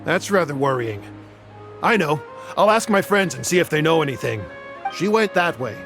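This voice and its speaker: Nice helpful game villager, lightish voice, music behind. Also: male voice, on the high side, very animated, moderate pace, a bit bright. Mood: thoughtful, concerned. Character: friendly, helpful townsman. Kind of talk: video game voice acting.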